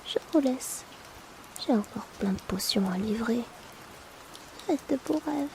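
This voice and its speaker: A woman's voice: fairly high pitch, animated, measured pace, noisy background. A woman playing a polite, chatty, discreet potion delivery assistant in a whispered ASMR roleplay, hesitant and confiding. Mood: friendly, playful.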